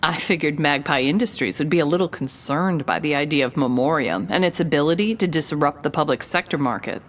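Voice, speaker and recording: Woman playing low-voiced sleuthing reporter. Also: a little fast, natural voice. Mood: deliberating. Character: inquisitive female reporter, hard-boiled sleuth narrating her case. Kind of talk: noir-flavoured scripted audio drama narration.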